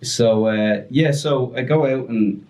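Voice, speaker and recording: A young man telling a story casually on a podcast, animated and breaking into voices as he retells it. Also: medium pitch, slow pace, a little hiss or hum. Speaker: podcast guest.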